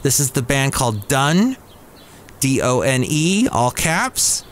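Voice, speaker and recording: A man's voice: mid-range voice, sing-song, slow, slight background noise. A man hosting a podcast in a café, chatting casually as he introduces new songs and reads emails aloud. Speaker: podcast host.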